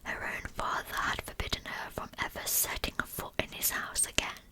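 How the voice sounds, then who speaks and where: Woman whispering a true-crime ASMR narration. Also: moderate pace. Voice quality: breathy pure whisper.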